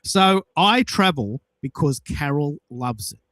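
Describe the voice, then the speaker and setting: A man's voice: on the high side, expressive, takes it slowly, fairly bright tone, no room sound. A man hosting a casual audio chat, telling personal anecdotes in an emphatic way and repeating himself for effect. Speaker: host of a social audio show.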